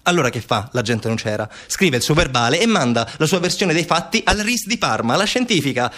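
A man's voice: on the high side, very animated, fairly quick, pushed and bright. Male stage storyteller, ironic and questioning. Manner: rhetorical questions, ironic, acting out quoted replies. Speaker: stage actor and monologue narrator. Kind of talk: theatrical narrative monologue.

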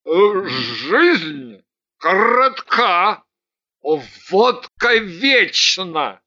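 A woman's voice: on the low side, big pitch swings, sharp tone, dull audio, full character voice. A woman voicing a very drunk man in a story, a pedantic, obliging husband who slurs and halts self-importantly. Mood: tipsy, pompous. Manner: slurred, halting, self-important drawl.

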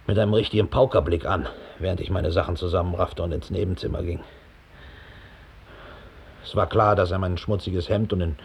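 A man's voice: deep voice, husky. An actor playing a big, slovenly, resentful middle-aged Nazi operative who gives his account with contempt and self-importance in a radio play. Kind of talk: radio drama monologue, police statement. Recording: 1960 studio radio play.

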